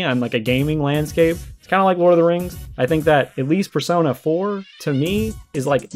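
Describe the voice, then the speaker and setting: A man giving conversational response commentary on games, explaining his argument point by point. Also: fairly high pitch. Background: background music.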